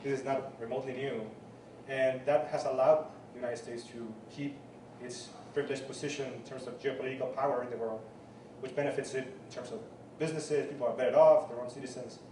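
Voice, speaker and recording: A man's voice: medium pitch, steady tone, a little fast. A man from Chile speaks up from the audience, hesitant and full of restarts. He is picked up from far off in an echoey hall, with noise underneath. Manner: hesitant, many restarts and fillers. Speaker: audience member.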